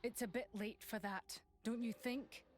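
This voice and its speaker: An actress playing a stern, dutiful imperial inquisitor with a formal, commanding, composed manner in a game cutscene. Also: female voice, fairly high pitch, fairly even intonation, measured pace, darkish tone, a little hiss or hum, natural voice. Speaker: voice actor. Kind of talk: video game cutscene acting.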